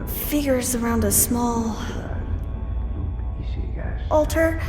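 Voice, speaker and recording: A woman playing a seer who describes a vision in a halting, hesitant way, searching for words. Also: fairly high pitch. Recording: echoey with long reverb and loud music.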